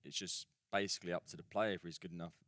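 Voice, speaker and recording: A man's voice: low-pitched, fairly even intonation, quick pace, a bit bright, no room sound, clean studio sound. A young man with a mixed English and Australian accent, chatting casually as a football guest on a radio show. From England. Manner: laid-back, slangy, trailing fillers. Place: radio studio.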